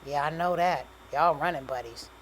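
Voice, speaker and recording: A woman's voice: low voice, moderate pace, slight background noise, close to an everyday voice, poor audio. A woman voicing a cocky, blunt young male rapper with dismissive street slang, in audiobook dialogue. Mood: casual, cool.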